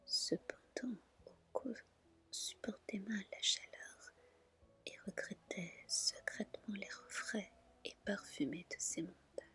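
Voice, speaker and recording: A woman softly and gently reading a fairy tale as an ASMR storyteller. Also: mid-range voice, husky. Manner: soft, gentle, unhurried narration.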